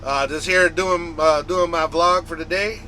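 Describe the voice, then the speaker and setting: A man with a bright, fairly high voice talks casually to his vlog viewers. He is a trucker from the United States and rambles along conversationally, often repeating himself. Faint vehicle and fan noise sits behind him, as inside a truck cab. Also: steady tone, a little slow. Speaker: trucker vlogger.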